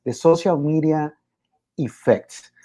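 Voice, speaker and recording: A man's voice: on the high side, takes it slowly, warm tone. A man in casual chat, steadily explaining statistics with occasional fillers.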